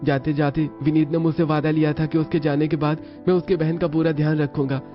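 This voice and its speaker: Man acting a high, flat-toned earnest young son. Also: fairly quick, close to an everyday voice. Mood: earnest, apologetic. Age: young adult. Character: dutiful, earnest newly married son explaining himself. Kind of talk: Hindi cartoon story voice acting. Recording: dull lo-fi audio over music.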